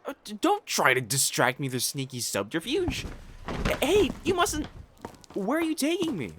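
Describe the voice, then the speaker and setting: A man's voice: high-pitched, huge pitch swings, moderate pace, background noise. A man playing a pompous, easily flustered young divine matchmaker in a romantic audio roleplay, speaking formally and stammering. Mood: scolding, flustered. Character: haughty, flustered, lovestruck young male divine matchmaker in imperial China.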